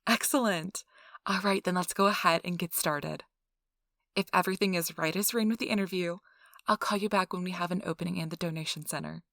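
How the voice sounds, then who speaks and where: Close and dry, a woman acts out a chatty receptionist-interviewer at a vampire donation clinic. Her voice is lowish with a crackly fry, and she talks in an officious, reassuring ASMR role-play manner. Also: a little fast, a bit bright, dry recording. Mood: polite, businesslike. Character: chipper, gossipy female interviewer at vampire blood donation center.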